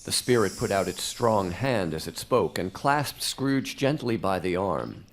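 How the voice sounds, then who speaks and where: Expressive male radio drama narrator. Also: low-pitched, a little slow.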